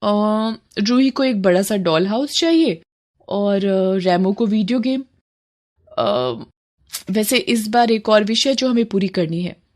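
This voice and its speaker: Woman playing a soft-hearted, soothing mother. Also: medium pitch, takes it slowly, natural voice. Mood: matter-of-fact. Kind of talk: Hindi cartoon moral story acting.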